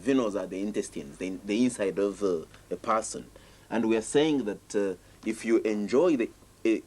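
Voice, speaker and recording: Husky guy explaining his play on the radio, earnest, lots of uh. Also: fairly low pitch, a little mellow. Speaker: theatre troupe member, guest. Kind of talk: radio interview.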